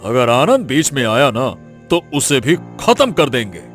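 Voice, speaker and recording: A man performs a menacing village boss, a greedy cartoon villain. He speaks in commands and threats at a medium male pitch, with faint music underneath. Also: big pitch swings, measured pace, fairly bright tone. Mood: scheming. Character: scheming, menacing village strongman, greedy land grabber.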